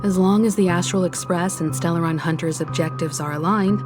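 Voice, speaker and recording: A woman voicing a poised, gracious mature leader in a game who speaks in a calm, courteous way. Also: lowish voice, fairly quick, over music, close to an everyday voice. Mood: composed.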